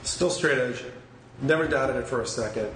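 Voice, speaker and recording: A man's voice: medium pitch, normal speed, fairly bright tone. Nasal man in his forties with a cold. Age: 40s. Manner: blunt, emphatic, swears freely. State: has a cold. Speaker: singer of a hardcore band, podcast guest. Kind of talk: casual podcast conversation. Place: upstairs room of a restaurant. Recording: echoey room with faint background noise.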